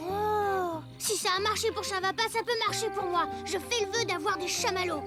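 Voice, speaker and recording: A woman's voice: very high-pitched, moderate pace, over loud music. A woman voicing a sweet, eager young animal child in a children's cartoon, cheerful and full of wonder.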